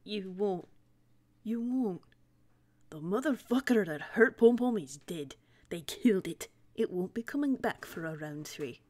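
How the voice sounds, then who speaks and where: Woman doing a small winged toon boy, loyal nephew type, soft but firm, folksy, comforting, mid pitched. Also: animated, measured pace. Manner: gentle, firm reassurance, folksy wording.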